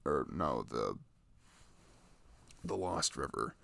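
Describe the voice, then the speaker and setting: A man's voice: extremely low pitch, very animated, takes it slowly, gravelly, pushed and bright, no room sound. A young adult man chatting casually and enthusiastically about video games in a rambling, slangy way, close to the microphone.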